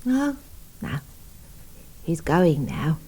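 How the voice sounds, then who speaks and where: An actress playing a gentle, sentimental spirit medium with hushed, dramatic delivery in a radio play. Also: female voice, low-pitched, big pitch swings, very slow, husky, full character voice. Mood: tender, reassuring.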